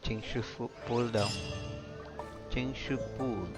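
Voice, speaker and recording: A man with a Filipino accent giving brief, low-key, casual commentary as he livestreams a game. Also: fairly even intonation, slow pace. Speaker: livestreaming gamer. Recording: stream voice over clear game music.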